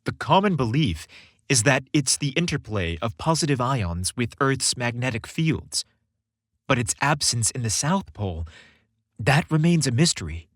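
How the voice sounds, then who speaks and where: A man narrating a scripted romantic story in a deliberate, expressive storytelling manner. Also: medium pitch, no echo, clean studio sound.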